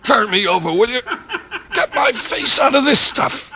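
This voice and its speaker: A man's voice: very high voice, lively intonation, normal speed. An actor playing a dying gunman in an old radio drama, labored and bitterly joking to the end. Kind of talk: 1940s-50s radio drama acting. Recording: noisy, crackly vintage radio recording.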